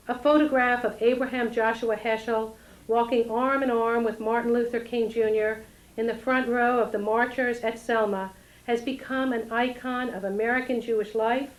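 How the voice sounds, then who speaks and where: A woman giving a formal prepared welcome address at a synagogue event, measured and solemn. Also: a little high, low-quality recording. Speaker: rabbinic intern giving a welcome. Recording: old analog tape.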